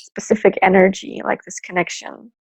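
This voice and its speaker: A woman with a gravelly, dry-sounding voice at a middle pitch, chatting in a supportive way. She laughs often and encourages the others. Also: flat delivery, moderate pace, no room sound, poor audio. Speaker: co-host. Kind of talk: casual chat.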